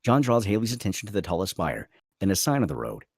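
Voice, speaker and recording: A man's voice: low-pitched, sing-song, quick pace, dry recording, clean studio sound. A man narrating a screenplay dramatically at a table read.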